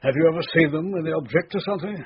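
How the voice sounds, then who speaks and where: An actor playing a pompous, dithering English police chief with a raspy voice in a radio drama. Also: male voice, a little high, very fast. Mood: fussy, surprised. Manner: fussy, hesitant, pompous. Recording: dull lo-fi radio recording.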